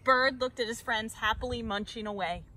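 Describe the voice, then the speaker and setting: A woman reading a picture book aloud for children, narrating in an expressive, deliberate storytelling way. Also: fairly high pitch, poor audio. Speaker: storybook reader.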